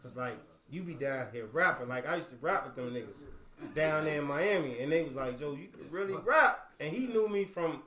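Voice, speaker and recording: A man's voice: on the high side, animated, fairly quick, a crowd in the background. A man in casual chat, telling a laid-back story and quoting what others said to him. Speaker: guest rapper. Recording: echoey room.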